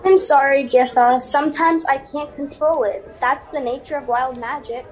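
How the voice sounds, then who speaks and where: A woman's voice: high voice, steady tone, normal speed, lightly acted, poor audio. A young girl acting an apologetic, fidgety wild-magic user in an amateur fantasy radio play. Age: child, 10 to 13.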